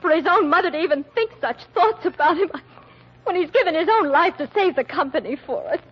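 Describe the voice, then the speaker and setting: An actress playing a trusting, earnest daughter who protests in a proper manner, in an old-time radio mystery. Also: female voice, extremely high pitch, animated, fairly quick. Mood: indignant. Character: prim, indignant, trusting daughter of a publishing family. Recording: dull vintage radio recording with hiss.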